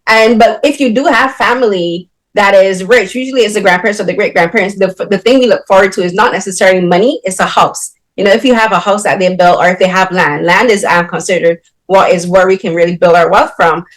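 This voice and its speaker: A woman's voice: mid-range voice, quick pace, hardly any pauses. A woman from Barbados, interviewing filmmakers with long, winding questions full of fillers. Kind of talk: film interview.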